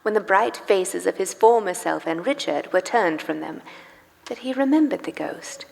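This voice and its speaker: A woman narrating a live radio play in expressive, literary storytelling style. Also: mid-range voice, moderate pace, vocal fry. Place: church hall, live performance. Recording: live in reverberant church hall with music.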